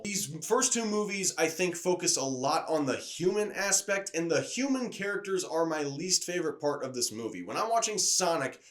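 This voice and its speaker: A man reviewing movies in a casual, conversational video style, enthusiastic and openly opinionated. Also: fairly high pitch.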